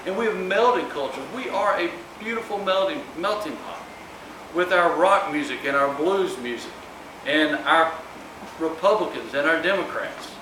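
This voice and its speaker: A man's voice: a little high, lively intonation, moderate pace. A man giving a public speech as an author at a live book event. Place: room at a live event, distant microphone. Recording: echoey, noisy room recorded from far off.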